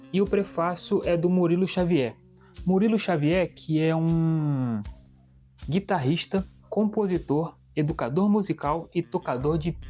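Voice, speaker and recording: A man's voice: a little high, darkish tone. A man with a Brazilian Portuguese accent, casually reviewing a comic book and often restarting his sentences. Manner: chatty, restarts sentences, shows pages to camera.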